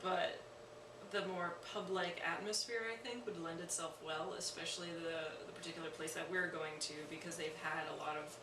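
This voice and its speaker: An echoey recording catches a woman some way from the mic, with clear ambient noise. She speaks at a medium pitch. Her interview answers are thoughtful and tentative. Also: steady tone, normal speed, far from the mic. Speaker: theatre cast member.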